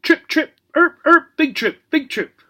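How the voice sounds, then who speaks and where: A man voicing a cheerful, repetitive pet parrot in a children's storybook, shrieking short phrases in a high-pitched squawk. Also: animated, unhurried, pushed and bright, heavily acted. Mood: agitated squawking.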